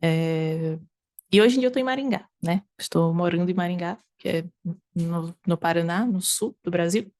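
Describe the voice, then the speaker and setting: Lower-voiced woman with a Sergipe accent. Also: moderate pace, a little mellow. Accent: Northeastern Brazilian from Sergipe, slightly mixed. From Aracaju, Sergipe, northeastern Brazil. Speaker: interview guest, variety game streamer. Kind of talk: casual conversational interview.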